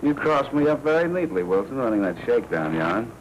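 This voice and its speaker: An actor playing a sardonic, menacing American crime boss and jealous husband in a 1940s film noir. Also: male voice, mid-range voice, very animated, fairly quick, muffled recording, natural voice. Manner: sardonic, needling, tough slangy talk. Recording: noisy old 1940s film soundtrack.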